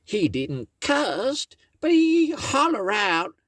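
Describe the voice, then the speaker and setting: Man doing a squeaky earnest turtle. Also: wildly swooping pitch, slow pace, fairly bright tone, full character voice.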